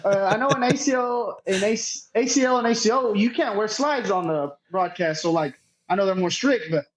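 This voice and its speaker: High-voiced man, casual and sweary. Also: normal speed, low-quality recording. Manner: sweary, slangy, unpolished. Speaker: podcast guest, cornhole player. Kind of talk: casual chat, storytelling.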